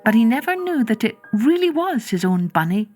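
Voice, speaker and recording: A woman's voice: a little high, sing-song, normal speed, a little mellow. Woman reading a children's story over music.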